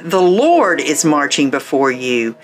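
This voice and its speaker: A woman's voice: sits low, very animated, takes it slowly, soft background music, full character voice. A woman playing a commanding older prophetess in a biblical radio drama.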